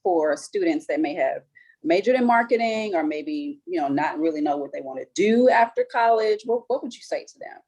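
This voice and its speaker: A woman in her forties moderates an alumni panel, chatty and encouraging. Her voice is husky and sits on the low side. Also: expressive, moderate pace, darkish tone.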